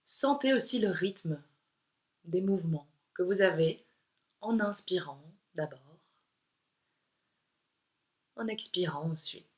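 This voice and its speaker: Female yoga teacher, slightly low voice, calm and unhurried, for guided relaxation and meditation. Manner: calm, soothing, unhurried instruction with pauses. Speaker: yoga instructor.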